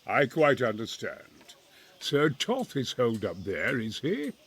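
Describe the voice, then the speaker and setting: A man playing a courteous, proud medieval siege master in a video game, speaking in a formal, eloquent, expressive manner. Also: medium pitch, takes it slowly, slightly rough, heavily acted. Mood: wry, measured. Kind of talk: dramatic video game acting.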